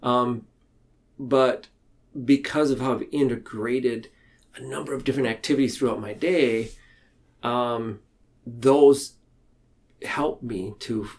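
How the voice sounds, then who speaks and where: A man speaking as a podcast guest in a reflective, conversational way, earnest and halting, tending to ramble. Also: medium pitch, steady tone. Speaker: podcast guest, mental health counselor. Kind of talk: reflective conversational interview talk.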